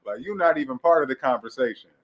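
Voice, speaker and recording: A man in his late twenties with a naturally low voice, talking casually and a bit hesitantly as an interview guest. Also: quick pace, highs cut off, a crowd in the background. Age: late 20s. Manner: laid-back, hesitant, many fillers and restarts. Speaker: guest rapper.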